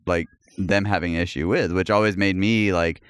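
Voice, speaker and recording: A deep-voiced man co-hosting a podcast in easygoing, rambling chat, often breaking into a laugh. His voice is smooth and clear. The recording is dry and close, with no room sound. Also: steady tone, moderate pace, dry recording. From United States. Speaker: podcast co-host. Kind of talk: casual chat.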